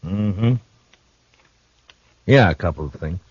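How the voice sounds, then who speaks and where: An actor playing a steady, shrewd frontier marshal in a 1950s radio drama, speaking in a calm, dry, measured way. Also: male voice, sits low, slightly rough, dry recording, exaggerated voice. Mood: calm, probing. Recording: dull old radio broadcast recording.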